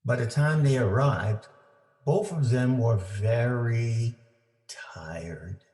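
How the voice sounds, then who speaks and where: A man reading a children's story aloud for families, with deliberate, simple phrasing for young listeners. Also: medium pitch, a little mellow. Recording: roomy, echoing indoor sound.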